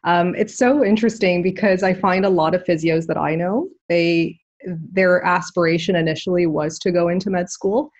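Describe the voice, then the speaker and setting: Woman host with a slightly low voice, friendly and encouraging. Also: fairly even intonation, moderate pace, darkish tone, dull audio. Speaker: podcast host, physiotherapist. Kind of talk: casual interview chat.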